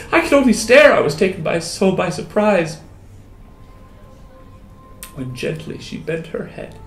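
A wistful wounded soldier, played by a man in his thirties recites a dramatic monologue in a slightly high voice. He is theatrical and halting, leaving long pauses. The room echoes and music plays behind him. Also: full character voice. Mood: awed, moved. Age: 30s. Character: wounded Canadian soldier, disfigured, wistful, lovestruck, grateful. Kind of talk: dramatic poetry recital, first-person monologue. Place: small indoor room with hard walls. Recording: roomy echo, music behind.

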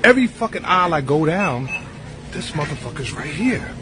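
A man's voice: a little high, very animated, moderate pace. A man in an exasperated, profane rant recorded on a phone in a store, indignant and repeating himself. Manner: indignant, repetitive. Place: inside a large store. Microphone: phone at arm's length. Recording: loud noisy store background.

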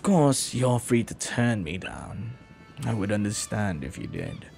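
A man playing a flirty, lovelorn pirate captain in a romantic audio roleplay, speaking softly and earnestly with hesitant, lingering pauses. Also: on the low side, huge pitch swings, vocal fry, soft background music, exaggerated voice. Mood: earnest, longing.

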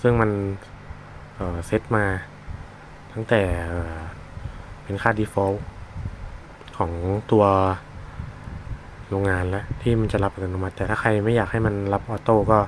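Low-voiced man over clear background noise. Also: steady tone. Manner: halting, informal explaining, searching for words. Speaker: product reviewer demonstrating a gadget. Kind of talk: casual unscripted product demonstration. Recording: noisy, with vehicle sounds behind.

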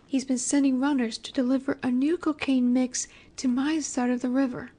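A woman performs a female mafia boss with a distinctly high voice. She is coy and self-assured, speaking deliberately to the listener in an audio roleplay. Menace sits under her flirtatious, teasing manner. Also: little pitch movement, mellow. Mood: composed, sly.

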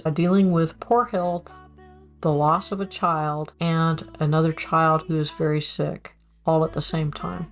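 Older woman chatting wryly over guitar music. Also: lowish voice. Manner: chatty, reads documents aloud with wry asides. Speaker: family history channel host. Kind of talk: casual explanatory narration over documents. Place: home office with bookshelves.

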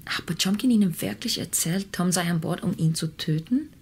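A woman's voice: fairly low pitch, fairly quick, slight background noise, natural voice. An actress playing a wary, earnest woman in a German crime radio drama, questioning and insistent. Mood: quietly doubtful.

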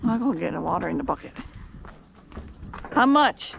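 A woman's voice: lowish voice, expressive, normal speed, gravelly. A woman chatting casually and asking questions while fishing on a lakeside pier, filmed on a body-worn camera. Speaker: vlogger filming her fishing trip. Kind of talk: casual chat. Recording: noisy outdoor camera audio.

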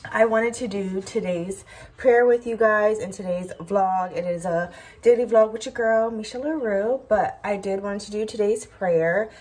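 A woman in her twenties chatting casually to her phone camera as a lifestyle vlogger, rambling and correcting herself as she goes. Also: mid-range voice. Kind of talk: casual vlog chat to camera. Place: bedroom indoors, later backyard outdoors.

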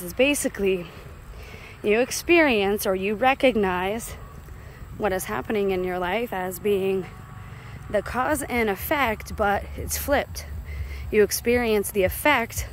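A woman's voice: mid-range voice, rough recording. An American woman vlogging in a casual, chatty, digressive and confiding way as she walks along and talks into a phone. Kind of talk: casual walk-and-talk monologue. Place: outdoors walking along a rural road. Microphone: handheld phone. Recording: clear outdoor roadside noise.

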